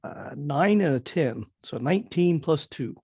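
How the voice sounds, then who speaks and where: A man's voice: a little high, lively intonation, measured pace, a little mellow, slightly rough, no room sound, lo-fi sound. A man chatting casually while playing a tabletop roleplaying game.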